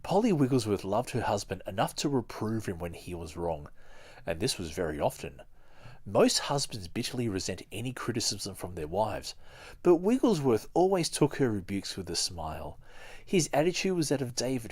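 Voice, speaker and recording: A man's voice: fairly low pitch, sing-song, normal speed, slightly rough. A man hosting a podcast, reading aloud and talking informally as he goes. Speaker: podcast host. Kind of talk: reading a book aloud with casual commentary.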